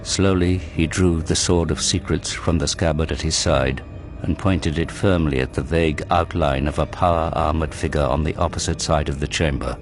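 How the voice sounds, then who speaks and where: A man narrating a scripted audio drama in a grave, measured storytelling manner. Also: a bass voice, steady tone. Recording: loud cinematic music behind the voice.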